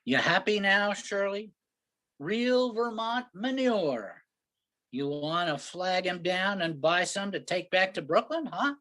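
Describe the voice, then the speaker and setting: A woman's voice: mid-range voice, big pitch swings, slow pace, a bit bright. A woman playing a grumpy, sarcastic city driver from Brooklyn in a comic play reading, complaining in exasperation. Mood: bitterly sarcastic.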